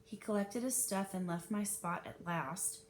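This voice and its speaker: A woman reading a children's novel aloud as an expressive narrator. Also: a little slow. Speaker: read-aloud reader. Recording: noisy with low rumble.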